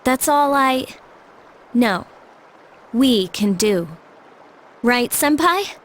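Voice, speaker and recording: A woman's voice: high-pitched, animated, very slow, heavily acted. A young American woman voicing an earnest, resolute teenage schoolgirl in an anime game. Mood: earnest, wistful. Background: music.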